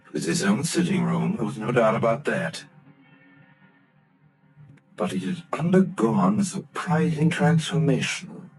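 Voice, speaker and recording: Male narrator, measured storytelling, soft music bed. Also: medium pitch, rough recording. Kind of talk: scripted narration.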